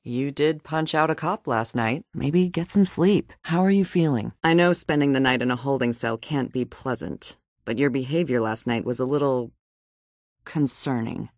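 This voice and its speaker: A woman's voice: very deep voice, no echo, studio quality. An actress playing a stern, persistent female police detective in a video game, questioning with a firm, probing and dryly sarcastic manner. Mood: measured, coaxing. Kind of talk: dramatic video game dialogue.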